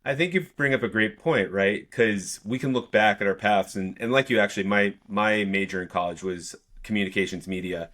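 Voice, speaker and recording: A man's voice: fairly low pitch, brisk, hardly any pauses, a bit bright. A man hosting a podcast interview, affirming his guest and asking follow-up questions. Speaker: podcast host. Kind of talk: conversational interview.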